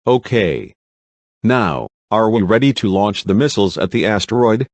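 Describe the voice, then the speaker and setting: A man playing a commander in charge of a missile launch in an amateur scripted disaster drama, with a husky voice. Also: on the low side, lively intonation, normal speed, no room sound. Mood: commanding.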